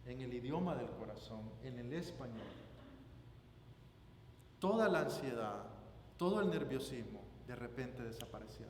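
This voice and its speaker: A man with a Guatemalan Spanish accent preaches a sermon, phrasing it deliberately and emphatically and repeating phrases for weight. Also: mid-range voice, expressive, darkish tone. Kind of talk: sermon with scripture reading and personal anecdote. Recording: roomy echoing hall, background noise.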